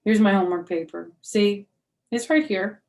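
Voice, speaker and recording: A woman's voice: medium pitch, moderate pace, vocal fry, darkish tone, pitched above the performer's own voice, natural voice. A woman reading a storybook as a nervous, evasive young schoolgirl, hesitant and stammering. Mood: falsely confident.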